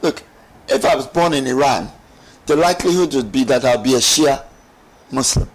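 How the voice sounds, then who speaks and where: A middle-aged man, explaining as a talk-show guest in emphatic, deliberate phrases. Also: mid-range voice, low-quality recording. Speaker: studio guest. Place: TV studio lounge set. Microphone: lapel mic.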